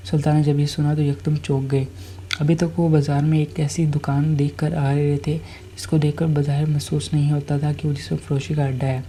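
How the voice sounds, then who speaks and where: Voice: mid-range voice, level tone, normal speed, warm tone, a little hiss or hum. A storyteller narrating a scripted tale, now and then stumbling over and repeating words while reading.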